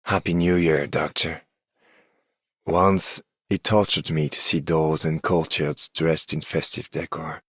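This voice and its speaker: A world-weary game character with an extremely low, gravelly voice. He speaks slowly and gravely, like someone haunted by time. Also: flat delivery, a bit bright, dry recording, heavily acted. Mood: somber, reflective. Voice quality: very deep, gravelly. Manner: slow, grave. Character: somber, world-weary man haunted by time's passage. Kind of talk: scripted game character greeting.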